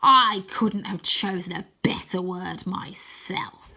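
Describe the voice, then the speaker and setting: A woman voicing a menacing male scarecrow villain in a children's storybook, with a hoarse, hissing whisper. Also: mid-range voice, sharp tone, heavily acted. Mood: sneering contempt. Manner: hissing, drawn-out, sneering.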